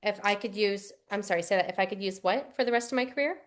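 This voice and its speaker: A woman's voice: mid-range voice, quick pace. A young adult woman, a voice actress answering interview questions in a chatty, rambling way with fillers and restarts. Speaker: voice actress guest.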